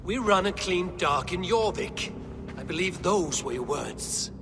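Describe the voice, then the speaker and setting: Blunt Viking in long reverb. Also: male voice, high-pitched, animated, unhurried, fairly bright tone, heavily acted. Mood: suspicious, confronting. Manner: blunt, challenging. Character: blunt, defiant male Viking warrior, adult. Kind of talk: dramatic video game acting. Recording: long reverb, music behind.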